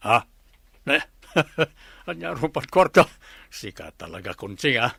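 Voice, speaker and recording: Gravelly, animated flirtatious master played by a man. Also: mid-range voice, a little slow, dry recording, studio quality, heavily acted. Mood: teasing. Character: genial, flirtatious master of the house. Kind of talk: Ilocano comedy radio drama acting.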